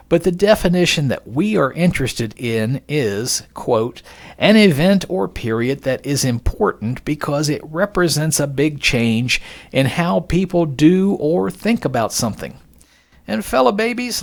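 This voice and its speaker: A man hosting a podcast, reading scripted narration in a folksy, conversational way with joking asides and rhetorical questions. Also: a little high. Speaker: podcast host.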